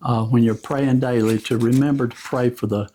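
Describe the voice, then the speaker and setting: A man's voice: medium pitch, fairly even intonation, normal speed, warm tone. Man co-hosting a casual chat.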